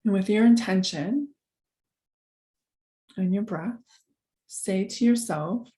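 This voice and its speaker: A woman guides a meditation in a soft, mellow voice that sits at an ordinary middle pitch. Her delivery is calm and gentle. She gives each instruction without hurry and leaves long pauses to follow it.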